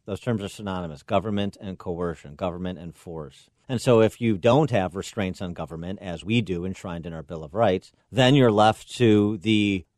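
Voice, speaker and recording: Deliberate talk radio host, a man. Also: low-pitched, a little mellow, dry recording, studio quality. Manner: deliberate, measured, with frequent uh fillers.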